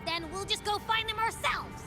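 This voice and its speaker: An actress playing a plucky, chatty, easily spooked young companion in a video game, with an expressive, casual delivery. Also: female voice, very high-pitched, normal speed, music in the background. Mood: exasperated. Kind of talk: animated video game acting.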